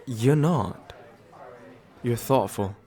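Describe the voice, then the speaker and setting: A man playing a tender, nervous, attentive date in a soft, intimate ASMR roleplay, halting and gently self-deprecating with small stammers. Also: mid-range voice. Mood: tender, reassuring. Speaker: voice actor in an audio roleplay.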